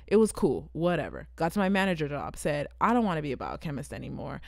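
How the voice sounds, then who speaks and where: Fast-talking gravelly young woman podcaster. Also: deep voice, no echo, studio quality. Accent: Southern California with a British tinge. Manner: rambling, animated storytelling. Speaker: podcast co-host. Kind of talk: casual chat.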